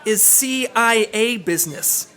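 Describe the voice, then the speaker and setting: A woman's voice: a little high, a little slow, a bit bright, faint music behind. A woman playing an earnest, flustered spy team leader with a dramatic delivery in a comedic audio drama. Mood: commanding.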